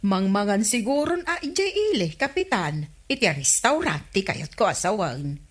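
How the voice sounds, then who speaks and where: A woman's voice: mid-range voice, very animated, moderate pace, breathy edge. An actress playing a nosy, skeptical village councilwoman with an Ilocano accent in a radio comedy drama. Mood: nosy, insistent.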